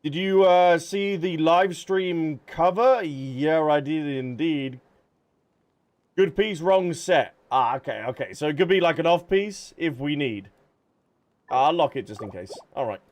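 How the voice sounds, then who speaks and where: Higher-voiced man chatting on a game stream. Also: measured pace. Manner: chatty, thinking aloud, answering viewers. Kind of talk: casual livestream chat while gaming. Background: background music.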